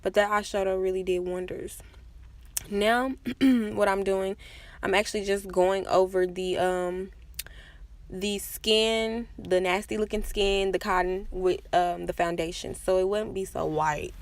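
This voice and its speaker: A woman's voice: medium pitch, fairly even intonation, unhurried, no room sound. A woman giving a casual, chatty makeup tutorial voice-over with self-deprecating asides. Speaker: makeup vlogger.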